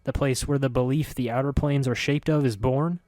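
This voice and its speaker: A man reading a story voices a curious, sharp-spoken middle-aged woman who talks in a quick, insistent way. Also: medium pitch, animated, dry recording, clean studio sound, close to an everyday voice. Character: curious sharp-spoken woman, neither young nor old, newcomer.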